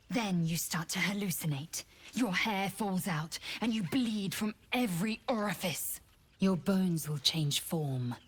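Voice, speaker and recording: Brief: blunt alien warrior, slow and disdainful, low husky voice, game cutscene. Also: female voice. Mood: grim. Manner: condescending, clipped and commanding. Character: haughty alien woman warrior, cold and blunt. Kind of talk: video game dialogue.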